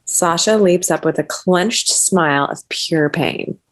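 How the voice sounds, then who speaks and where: A woman reading the stage directions of a comedy at a table read with a lively, comic delivery. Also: lowish voice, slow pace. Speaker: narrator.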